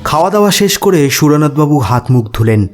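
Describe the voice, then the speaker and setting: A man's voice: a little high, lively intonation, fairly quick, soft background music. A man narrating a scripted ghost story as a storyteller.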